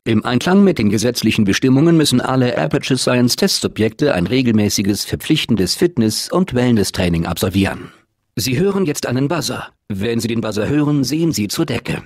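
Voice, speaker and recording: A male automated announcer for a video game facility. He speaks at a medium pitch, formal and measured. His temper is unflappable and blandly upbeat, delivering everything as polite, deadpan official information. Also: no echo, studio quality. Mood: calmly official. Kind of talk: scripted game announcements, German dub.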